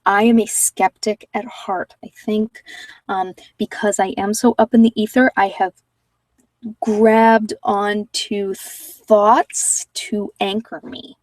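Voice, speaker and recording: A woman's voice: medium pitch, little pitch movement, dry recording. Hesitant, reflective woman, slightly distant mic. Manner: hesitant, reflective, rambling with pauses. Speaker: meditation guide and former social worker. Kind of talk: informal unscripted ASMR-channel talk.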